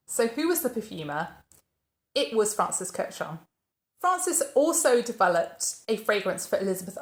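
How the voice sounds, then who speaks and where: A woman narrates a biography for her channel. She tells it as a story and keeps posing questions that she answers herself. Her voice sits at a middle pitch and carries the echo of a roomy space. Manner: storytelling, poses questions then answers them. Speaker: channel host.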